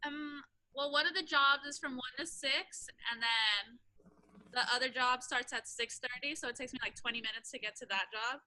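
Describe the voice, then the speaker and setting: Woman, early twenties, high voice, very bright tone, casual, says "like" a lot. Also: normal speed, rough recording. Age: 20s. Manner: casual, hesitant, uses "like". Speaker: guest, college student.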